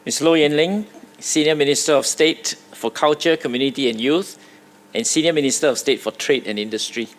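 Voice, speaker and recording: A man giving a formal welcome address at a podium, with fillers and lively jumps in pitch. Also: fairly quick, noisy background. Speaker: university host.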